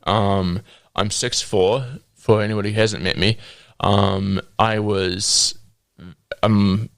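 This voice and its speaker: Low-voiced hesitant young New Zealand man. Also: fairly even intonation, slow pace, gravelly, dry recording. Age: 20s. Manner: hesitant, with frequent ums and laughs. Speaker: podcast guest. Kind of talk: sharing a personal faith testimony.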